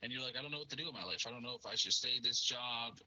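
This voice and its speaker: A man's voice: mid-range voice, racing, sharp tone, highs cut off, slight background noise. A man co-hosting a casual podcast, telling a story in a friendly way and leading up to a question. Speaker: podcast co-host.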